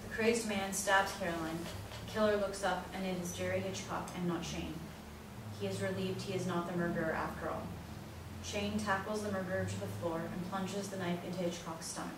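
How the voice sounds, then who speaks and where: Low-voiced woman narrator in an echoey room. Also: fairly even intonation, brisk. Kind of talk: reading stage directions, scripted narration. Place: echoey indoor room, far from mic. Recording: very echoey room, distant mic, noisy.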